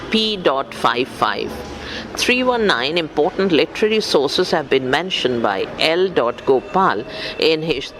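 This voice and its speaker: Higher-pitched man reading slowly, pitch moving freely. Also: noisy background. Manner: methodical, voices punctuation aloud. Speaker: audiobook reader for visually handicapped listeners. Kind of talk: read-aloud of an academic book and its footnotes, spelling out references.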